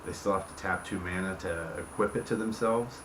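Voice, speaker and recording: A man's voice: deep voice, a little fast. A man giving an informal explanation as host, often checking in with the listener with small tag questions. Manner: checks in often with "okay", "right", "you see what I'm saying". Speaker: host, game creator explaining rules. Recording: roomy echo, clear background noise.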